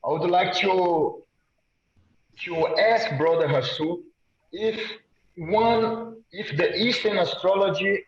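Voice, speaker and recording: A man from Brazil with a Brazilian Portuguese accent, asking a question in a halting, hesitant way, with many fillers and restarts, over a video call. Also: high voice. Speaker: audience member asking a question. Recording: echoey muffled video call audio.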